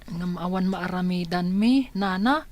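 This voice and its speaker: An actress playing a polite, timid orphaned child with a plaintive manner in an Ilocano radio drama. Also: female voice, fairly low pitch, a little slow, lightly acted.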